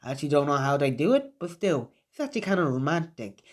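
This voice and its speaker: A narrator voicing a gushing adult ninja teacher who talks quickly in a fan-made story. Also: medium pitch, very animated.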